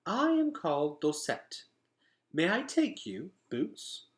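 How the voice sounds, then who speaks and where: A man voicing a polite, gentle teenage female attendant in a storybook, speaking with formal courtesy. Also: high voice, huge pitch swings, slow pace, voice pushed up, heavily acted. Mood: gentle, courteous. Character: polite, gentle young woman attendant of about fifteen. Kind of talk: acted storybook dialogue.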